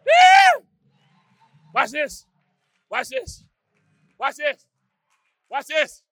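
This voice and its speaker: A pastor preaching a sermon with call-and-response, swinging from hushed musing to shouted, sung climaxes. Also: male voice, very high voice, wildly swooping pitch, very slow, creaky, no room sound. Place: church.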